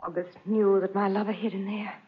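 Tormented aristocratic wife, 1940s radio drama. Formal, dramatic, somewhat husky, on a dull old recording. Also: female voice, medium pitch, flat delivery, a little fast. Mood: haunted, remorseful. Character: anguished, guilty aristocratic wife telling her tale.

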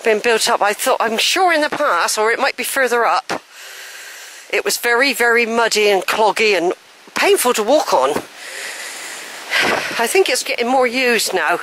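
A woman's voice: fairly high pitch, lively intonation, moderate pace, a bit bright, rough recording. Chatty wheezy woman on a woodland walk. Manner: chatty, rambling, thinking aloud. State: a bit wheezy. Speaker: walking vlogger. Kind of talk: casual walking commentary. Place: wooded track outdoors. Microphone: handheld camera while walking.

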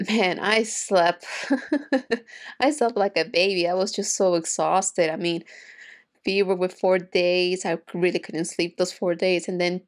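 A young woman chatting casually to camera from her home room as an anime reaction host, rambling with restarts, still recovering from an illness with a slight cough, speaking into a desk mic. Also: mid-range voice. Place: home room with shelves of figures and manga. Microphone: desk mic on boom arm in front.

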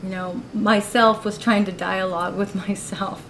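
A woman's voice: mid-range voice, fairly even intonation, moderate pace, fairly bright tone. A woman in her forties talks through her own artworks at a college gallery, candid and laughing often, her speech full of "you know." The live recording sounds roomy. There is a faint noise floor under it. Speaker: artist giving a gallery talk.

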